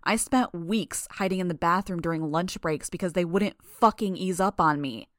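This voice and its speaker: A woman's voice: fairly low pitch, normal speed, no room sound, clean studio sound, close to an everyday voice. Woman as a determined, defiant teenage girl. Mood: heated, earnest. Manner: insistent, argumentative. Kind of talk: dramatised audiobook dialogue.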